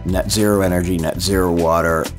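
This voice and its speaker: A man with a fairly low voice gives a documentary interview as a design consultant. He explains things in a measured way, with music playing clearly behind him. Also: fairly even intonation.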